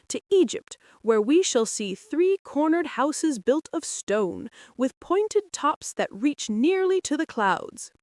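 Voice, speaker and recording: A woman's voice: high-pitched, no echo, clean studio sound. A woman voicing a patient, firm mother stork in a storybook, instructing and reassuring her young.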